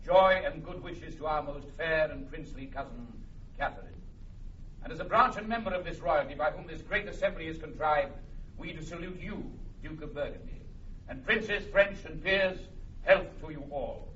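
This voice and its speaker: A man's voice: a little high, moderate pace, fairly bright tone, a little hiss or hum, lightly acted. A man as a dignified king, echoey and distant. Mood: courtly. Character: gracious, dignified English king, formally courteous. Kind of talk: classic Shakespearean radio acting. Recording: echoey, distant, muffled old radio recording.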